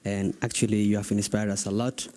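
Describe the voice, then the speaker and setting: A man's voice: fairly low pitch, little pitch movement, a little fast, warm tone. A young man from Somalia, a youth leader putting a long, earnest question to a panel. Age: young adult. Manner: earnest, long flowing sentences.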